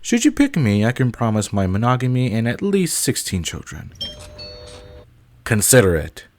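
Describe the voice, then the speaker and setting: A man's voice: mid-range voice, wildly swooping pitch, moderate pace, slight background noise. A man voicing a blunt, eager alien seeking a mate, speaking in a clinical, formal way in an audio roleplay.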